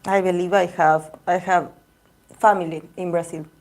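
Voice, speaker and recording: A woman chats easily with her livestream viewers in English. Her voice sits a little low, and she often repeats or restarts words as she talks. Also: fairly even intonation, normal speed, a little mellow. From Argentina. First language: Spanish. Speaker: tarot reader livestream host. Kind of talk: casual livestream chat with viewers.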